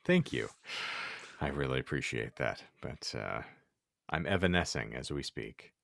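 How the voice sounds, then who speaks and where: A man's voice: very deep voice, lively intonation, measured pace, vocal fry, a bit bright, no room sound. A man co-hosting a casual comedy podcast, wordy, with theatrical mock exasperation. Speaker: podcast co-host and narrator.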